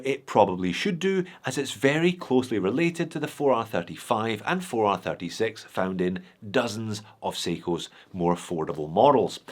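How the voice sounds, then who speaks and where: Male host, middle-aged, nasal from a cold, dry wit, direct-to-camera watch review patter. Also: medium pitch. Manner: dry humour, conversational asides to viewers. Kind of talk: scripted video review.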